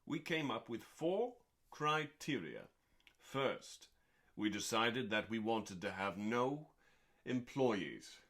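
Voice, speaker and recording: A man reading a book aloud as a show host, in a deliberate, dramatic bedtime-story style with pauses and drawn-out words. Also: mid-range voice, lively intonation, a bit bright. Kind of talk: slow dramatic book reading, meant as calming bedtime listening.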